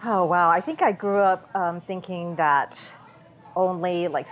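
An American-accented woman who grew up in Southern California, answering conversationally as an interview guest over an internet call. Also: sits low. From born in Taiwan, grew up in Southern California. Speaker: podcast guest, sociologist. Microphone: Skype call. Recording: dull internet call audio.

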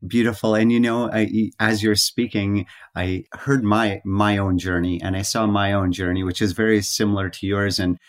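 A man hosting a podcast interview, gently affirming the guest and asking open questions. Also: on the low side, flat delivery, moderate pace, few pauses, dry recording, clean studio sound. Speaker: podcast host. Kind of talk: conversational interview.

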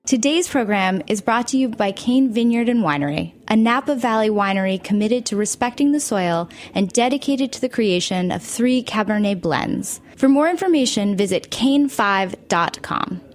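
A woman's voice: fairly high pitch, expressive, moderate pace, few pauses, soft background music. A woman reading a scripted sponsor message for a radio show. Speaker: sponsor announcer.

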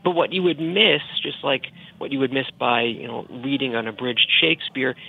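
Gravelly-voiced man explaining as guest. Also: medium pitch, steady tone, normal speed, narrow, tinny audio. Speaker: author interviewed as guest. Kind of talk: explanatory podcast interview.

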